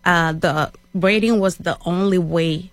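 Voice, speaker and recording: A middle-aged woman with a Cameroonian accent, speaking calmly and in a measured way as an interview guest. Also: lowish voice, no room sound. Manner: calm, measured. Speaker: interview guest, business owner. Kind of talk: conversational interview.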